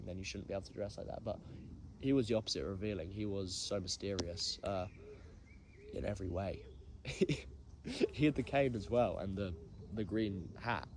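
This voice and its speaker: A man's voice: lowish voice, a little mellow, a little hiss or hum. Nasal young Englishman rambling. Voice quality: nasal from hay fever. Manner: rambling, self-deprecating, many ums. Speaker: podcast host. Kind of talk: casual solo podcast chat.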